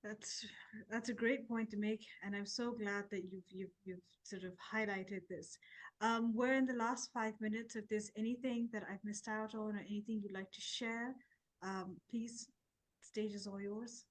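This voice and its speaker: A woman hosts an interview at a literary festival. Her voice sits at an ordinary middle pitch. She speaks encouragingly and backs up her guest with short replies. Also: steady tone, normal speed, poor audio. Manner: warm encouraging, brief affirmations. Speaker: host. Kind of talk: interview hosting.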